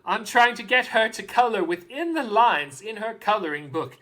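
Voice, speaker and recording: A woman playing a doting, excitable father of a small girl in a theatrical monologue, telling the story with dramatic pauses and drawn-out emphasis. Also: mid-range voice. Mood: excited.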